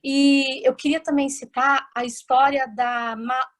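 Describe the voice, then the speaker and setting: Woman guest giving advice, pretty high voice, earnest, kinda pauses to find words. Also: little pitch movement. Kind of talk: casual video-call chat.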